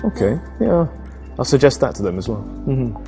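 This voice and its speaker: A man's voice: medium pitch, very animated, normal speed, dark tone, soft background music. An actor playing an eager, earnest man chatting up a woman in casual date talk, hesitant and repeating his words. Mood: casual, probing. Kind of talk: acted casual date chat.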